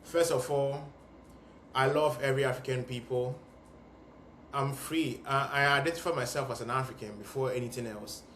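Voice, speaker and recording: A man's voice: mid-range voice, fairly even intonation, moderate pace, a bit bright, a little hiss or hum. Earnest young man in an echoey room. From Ghana and Sierra Leone. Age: young adult. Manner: earnest and emphatic, repeating phrases for effect. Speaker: vlogger. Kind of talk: heartfelt monologue to camera.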